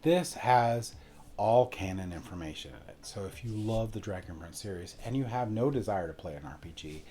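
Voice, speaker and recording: Deep-voiced man reviewing a tabletop game. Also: expressive, normal speed, warm tone, slight background noise. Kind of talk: casual review, explaining a rulebook.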